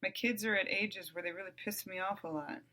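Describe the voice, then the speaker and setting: A middle-aged woman hosting her own podcast, talking bluntly and with profanity in a calm, even voice that flares up loud at times. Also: medium pitch, fairly quick. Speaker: podcast host. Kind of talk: candid monologue.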